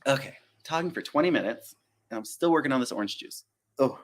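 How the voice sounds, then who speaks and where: This is a man, talking casually on a live stream about his work as a flight attendant. He rambles in a friendly way, teases himself and slips into quick impressions of coworkers and the airline. It sounds like he is at home, close to a desk mic. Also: medium pitch, expressive. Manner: chatty, self-deprecating, digresses and acts out quotes.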